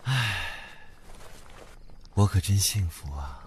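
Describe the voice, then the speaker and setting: 一名男演员在广播剧里饰演一个沉稳宠溺、爱逗人的年长男友，说话温柔从容。. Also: male voice, fairly low pitch, expressive, husky, exaggerated voice. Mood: teasing, doting. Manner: tender, unhurried, gently teasing. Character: calm, doting, teasing older boyfriend. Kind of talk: Chinese audio drama acting. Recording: music under the voice. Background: background music.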